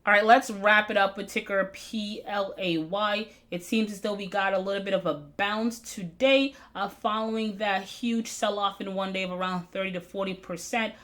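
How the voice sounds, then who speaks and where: A middle-aged woman hosting a stock market video, recapping charts in a brisk analytical way with frequent fillers, her voice slightly hoarse. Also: medium pitch, steady tone, a bit bright.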